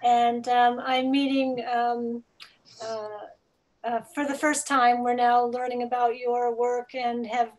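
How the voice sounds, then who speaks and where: A woman's voice: a little high, level tone, slow pace, low-quality recording. A woman taking part in an online group class, introducing herself in a casual, conversational way. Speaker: class participant.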